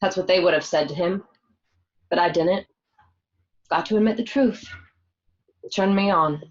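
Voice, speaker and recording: A woman's voice: on the low side, a little slow, close to an everyday voice. A woman playing a gangster's young girlfriend in a radio drama, candid and emotional. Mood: wry confession. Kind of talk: amateur radio drama acting. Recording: dull lo-fi home recording.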